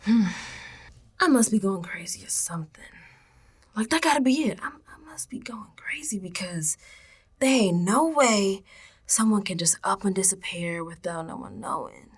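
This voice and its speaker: A woman's voice: mid-range voice, animated, moderate pace, husky. A woman playing a streetwise, unsettled young American woman in an audio drama monologue, confiding in colloquial, emphatic speech. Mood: resigned, baffled. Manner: colloquial, sassy, emphatic, dropping into whispers.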